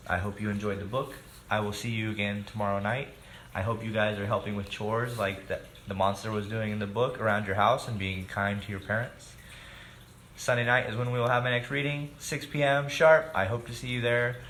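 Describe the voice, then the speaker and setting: A man's voice: fairly low pitch, little pitch movement, brisk, a bit bright. A man reading a children's storybook aloud expressively and speaking directly to his young listeners. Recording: echoey room with background noise.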